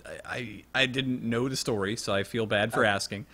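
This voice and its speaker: A man's voice: lowish voice, fairly even intonation, moderate pace, bright, forceful tone. A young man, hosting a casual talk show with playful banter. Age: young adult. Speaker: show host. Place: indoor room, video call. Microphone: headset.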